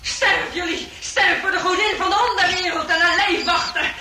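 A woman's voice: very high-pitched, steady tone, normal speed, sharp tone. An actress playing a deranged woman who believes she is goddess of the underworld, theatrical and menacing, in a Dutch radio drama. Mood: vengeful. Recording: echoey cave sound, noisy old radio recording.

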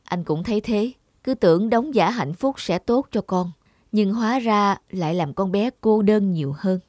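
A woman's voice: medium pitch, moderate pace, darkish tone, dry recording, clean studio sound, natural voice. A woman voicing an irritable, self-important husband and father in a cartoon, blunt and dismissive. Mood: resigned. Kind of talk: cartoon dubbing.

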